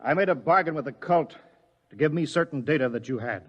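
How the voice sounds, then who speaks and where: An actor playing a tense, commanding observatory director in a radio drama, urgent and authoritative. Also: male voice, on the high side, brisk, slightly rough, lo-fi sound. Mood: indignant. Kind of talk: mid-century radio drama acting.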